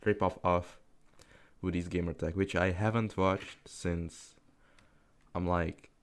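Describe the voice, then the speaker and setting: A man's voice: low voice, fairly even intonation, slow pace. An eighteen-year-old man, rambling unscripted over gameplay in a self-deprecating, swearing, laugh-filled way. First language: Czech. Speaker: gaming commentary YouTuber.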